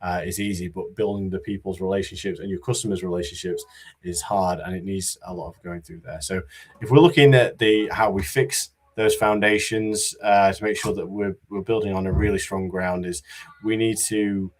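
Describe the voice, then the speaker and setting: A man giving a conference talk about business readiness, in a monotone voice with many fillers and restarts. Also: deep voice, moderate pace. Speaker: conference speaker.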